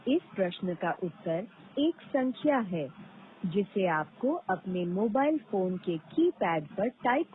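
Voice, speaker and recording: A woman reading scripted automated phone prompts in a formal, measured way with deliberate pauses, heard over a recorded phone call. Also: mid-range voice, slight background noise. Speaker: automated phone line announcer. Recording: tinny band-limited phone line recording.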